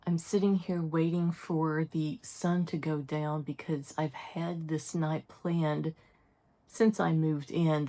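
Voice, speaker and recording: A woman's voice: low-pitched, dark tone. A woman talking casually to her vlog audience, telling personal stories in an everyday conversational way. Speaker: solo vlogger.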